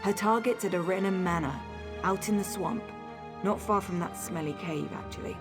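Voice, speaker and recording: Actress as a headstrong young noblewoman, lower-pitched. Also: normal speed, music in the background, close to an everyday voice. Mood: conspiratorial. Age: young adult. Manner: earnest, stubborn. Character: headstrong young noblewoman turned assassin's apprentice, grieving, vengeful. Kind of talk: video game dialogue.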